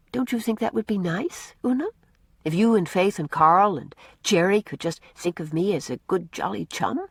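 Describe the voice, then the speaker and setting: A woman reader voicing a gentle, tender young woman who speaks softly to comfort a child. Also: medium pitch, slightly rough, no room sound, studio quality. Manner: soft, gentle.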